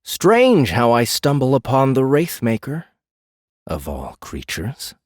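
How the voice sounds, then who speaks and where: An actor playing a weary, haughty fae jailer in an audiobook drama, brooding and disdainful in a measured way. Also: male voice, mid-range voice, huge pitch swings, no echo, clean studio sound. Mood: suspicious, musing.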